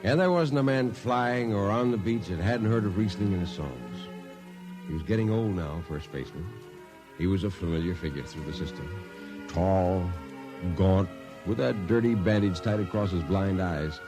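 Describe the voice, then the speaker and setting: A man's voice: sits low, moderate pace, music in the background, natural voice. An actor playing a veteran spaceship chief jetman, a plain-spoken shipmate, in old-time radio drama. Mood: reminiscing. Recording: vintage radio recording.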